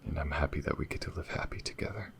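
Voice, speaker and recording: Man acting a low, gravelly, gentle alien lover. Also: a little hiss or hum. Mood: wistful, hopeful. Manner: soft, hesitant, breathy pauses and sighs. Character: gentle, wistful alien man, devoted lover far from home. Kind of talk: ASMR audio roleplay, intimate monologue to the listener.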